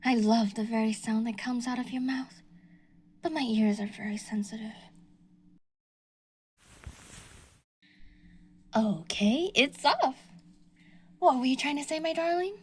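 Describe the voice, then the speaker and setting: A woman's voice: on the high side, moderate pace, darkish tone, husky. A woman playing a sweetly menacing yandere stalker. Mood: taunting. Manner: sweetly menacing, slips into whispers. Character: obsessive delusional female yandere stalker. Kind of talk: audio roleplay.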